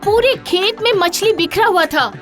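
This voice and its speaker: A woman's voice: very high-pitched, normal speed, fairly bright tone, soft background music. A woman playing a naive, complaining, insistent village wife in a cartoon story. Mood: insistent, upset. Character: gullible, chatty, sleepy village wife, insistent.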